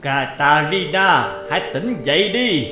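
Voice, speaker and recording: Kind old grandpa fish for a cartoon, slow soothing talk, highish voice, music behind. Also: male voice, lively intonation, reverberant. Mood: calm, reassuring. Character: kindly, protective grandfatherly big fish. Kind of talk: Vietnamese cartoon fairy-tale acting.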